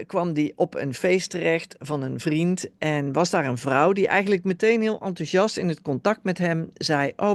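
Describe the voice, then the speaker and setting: A man's voice: on the high side, creaky, dry recording. A male podcast host casually recapping a guest's story, hesitant with many fillers. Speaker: host summarizing in Dutch.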